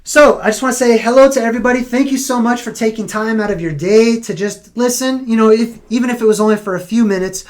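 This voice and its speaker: A man's voice: very high voice, quick pace, few pauses. A young man hosting a casual live stream, losing his voice, rambling and correcting himself as he talks. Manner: rambling, self-correcting, conversational.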